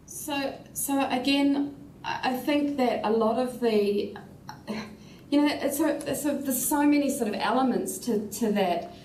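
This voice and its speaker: A woman with a New Zealand accent speaking as a panelist, measured and thoughtful, with frequent "you know" and "um" fillers. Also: sits high, darkish tone, roomy sound, distant mic, slight background noise, low-quality recording. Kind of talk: casual panel discussion.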